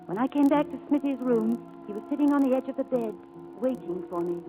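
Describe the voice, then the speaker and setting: A woman's voice: high-pitched, expressive, fairly quick, phone-quality sound. An actress playing a devoted, spirited young woman in a 1940s radio drama, speaking in an earnest, pleading and effusive way. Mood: wistful narration. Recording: old radio broadcast with music behind.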